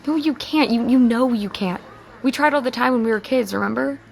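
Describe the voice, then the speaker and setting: An American woman playing an earnest, grieving teenage high school girl in an audio drama, speaking haltingly and emotionally. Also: gravelly, darkish tone. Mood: urgent, determined. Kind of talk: naturalistic audio drama dialogue.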